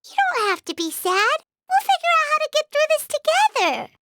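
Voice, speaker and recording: A woman playing a small childlike fairy companion in a video game, with a squeaky, chatty, exclamatory delivery. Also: very animated, a little fast, heavy background noise, heavily acted. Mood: concerned. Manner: exclamatory, refers to self in third person. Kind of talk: video game character acting.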